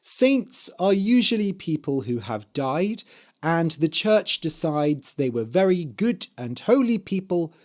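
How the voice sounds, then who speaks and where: A man hosting an English-learning podcast, speaking in a hoarse, slightly dry voice and explaining words clearly for learners. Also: a little high, a little mellow. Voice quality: spells words out letter by letter. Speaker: podcast host and English teacher. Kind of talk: slow, clear teaching talk for learners.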